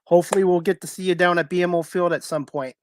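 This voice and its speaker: A man hosts a sports talk show. His voice sits a little high, and the sound is dry with no room around it. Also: fairly even intonation, fast talker, no echo.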